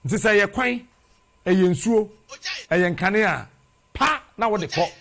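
Fiery Ghanaian TV host, high voice. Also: male voice, measured pace. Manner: preachy and animated, repeats phrases rhythmically, sometimes sings lines. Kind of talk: fiery studio monologue mixing Twi and English. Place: TV studio with brick wall. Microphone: lapel mic.

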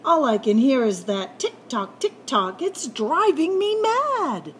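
A woman's voice: sits high, sing-song, slow pace, slight background noise, voice pushed up, exaggerated voice. A woman voicing a fussy, sleepless male rabbit in a children's storybook, with whiny, dramatic delivery. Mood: exasperated.

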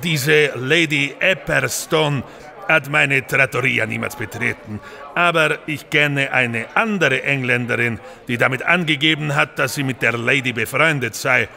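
An actor playing a busy, impatient Italian innkeeper in an audio drama, speaking curtly. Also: male voice, medium pitch, measured pace, gravelly, bright, forceful tone, full character voice. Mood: grudging. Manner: curt, impatient, peppered with Italian words. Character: brusque, busy Italian innkeeper running a trattoria.

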